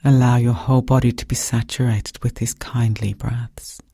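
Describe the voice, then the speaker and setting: Mellow, breathy man guiding meditation. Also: medium pitch. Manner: calm, gentle, measured phrasing with pauses. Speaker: meditation guide. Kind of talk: guided meditation narration.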